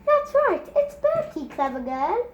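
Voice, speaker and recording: A young boy voicing a doting young mother cooing at her baby in a storybook, squeaky and excitable. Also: measured pace, highs cut off, a little hiss or hum, exaggerated voice. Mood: gushing delight. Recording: roomy, echoing home recording.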